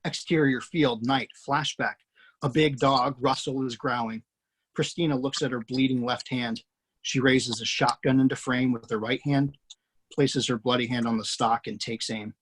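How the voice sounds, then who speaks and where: A screenwriter hosting a table read over a video call, reading scene directions aloud. His voice is slightly husky and sits at an ordinary middle pitch, delivered plainly and steadily. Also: steady tone, normal speed, fairly bright tone, low-quality recording. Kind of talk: reading stage directions, then casual chat. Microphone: video call mic.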